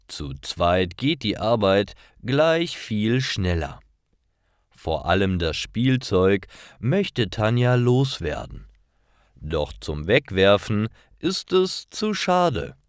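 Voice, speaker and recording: A man with a deep voice narrates a simple story for language learners. He reads very slowly and enunciates with care. His intonation moves up and down in a lively way. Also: clear, resonant tone, no room sound, clean studio sound. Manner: deliberate, carefully enunciated reading. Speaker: narrator of German learning stories.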